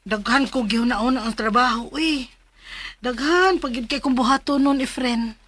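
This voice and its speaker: A woman's voice: a little high, normal speed, fairly bright tone, dry recording. A woman with a Cebuano accent acting a weary, coffee-addicted working mother who confides her troubles in a radio drama. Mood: casual, defensive. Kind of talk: Philippine radio drama acting and first-person letter narration. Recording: music bed under the voice.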